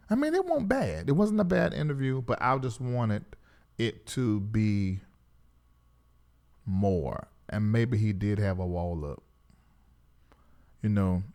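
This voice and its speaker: A man's voice: fairly low pitch, a bit bright. A man hosting a live call-in talk show, speaking casually in a conciliatory, reflective manner.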